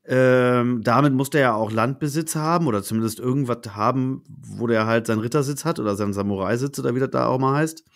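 Man, German accent, medium pitch, hesitant, thinking aloud, podcast co-host. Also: fast talker, gravelly, few pauses. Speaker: podcast co-host asking questions. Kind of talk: casual history podcast chat.

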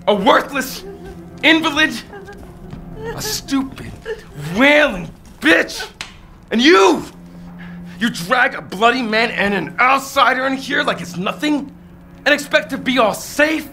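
A man's voice: very high-pitched, very animated, slow, gravelly, long pauses, sharp tone, faint music behind, exaggerated voice. A man playing a bitter, panicked villager in a horror game, spitting insults at outsiders. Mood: furious.

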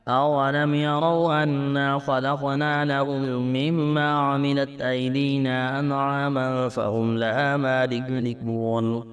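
A man reciting scripture in a melodic Quranic chant, measured, with drawn-out verse endings. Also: medium pitch.

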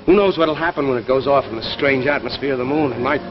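A man's voice: medium pitch, fast talker, noisy background, close to an everyday voice. An actor playing an earnest, anxious middle-aged father and husband in 1950s television drama. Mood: grave, urgent. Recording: muffled 1950s television soundtrack, loud music.

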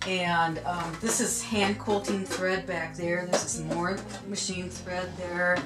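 Easygoing woman in her 50s, slightly low voice, roomy home sound with music under it. Chatty tour host. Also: little pitch movement, takes it slowly. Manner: chatty, easygoing, many ums and you-knows. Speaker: vlog co-host showing her sewing room. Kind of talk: casual home tour chat. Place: small home sewing room, indoors.

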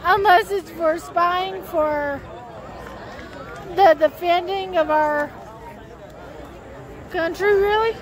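Really high voiced lady, slow easygoing pace, joking around, crowd behind her outside. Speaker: vlogger filming a road trip. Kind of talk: casual chat. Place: outdoors at a sports training camp. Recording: outdoor crowd noise and vehicles.

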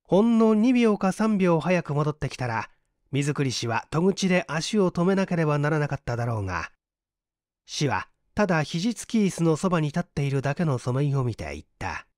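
A man's voice: a little high, big pitch swings, normal speed, darkish tone, no room sound, studio quality. A man narrating a literary story aloud in a dramatic reading style. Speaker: audiobook narrator and stage performer.

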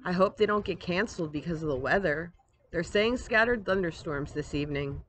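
A woman voicing a concerned, patient mother who reasons gently, in a storybook read-aloud. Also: fairly low pitch, lively intonation, a little fast, close to an everyday voice. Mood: apologetic. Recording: outdoor ambient noise, device microphone.

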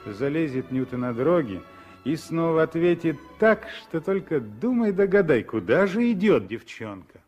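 A man narrating a 1960s radio drama as a lyrical, expressive storyteller with measured phrasing. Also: on the high side, highs cut off, faint music behind. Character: lyrical male storyteller of a civil war tale.

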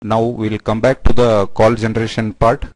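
A man narrating a software product demo step by step in a halting, explanatory way. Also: lowish voice, monotone, no echo.

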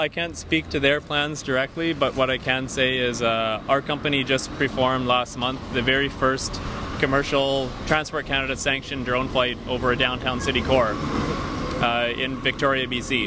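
A man in his thirties giving measured, businesslike explanations as an executive interviewed outdoors on a handheld microphone. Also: medium pitch. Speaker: company CEO being interviewed. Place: outdoors on a busy city street. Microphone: handheld interview microphone held by interviewer. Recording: clear street and traffic noise.

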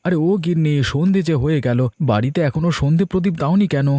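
A man's voice: on the high side, fast talker, warm tone, no room sound, natural voice. A man voicing a middle-aged, querulous but homely village uncle and husband in a cartoon story. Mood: grumbling. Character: grumbling, hospitable village uncle, bickering husband. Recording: background music bed.

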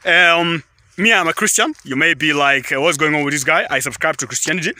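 A man's voice: a little high, a little fast, pushed and bright, dry recording. A young Kenyan man with a Kenyan accent, rambling casually into his phone at arm's length outdoors in a tea field, repeating himself often. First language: Kalenjin. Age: young adult. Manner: rambling, repetitive, keeps saying guys. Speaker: vlogger. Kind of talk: casual selfie vlog.

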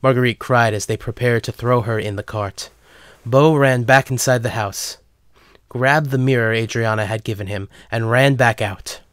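A man's voice: mid-range voice, steady tone, moderate pace, fairly bright tone, clean tone, dry recording, studio quality. A man narrating a scripted dramatic story as the narrator.

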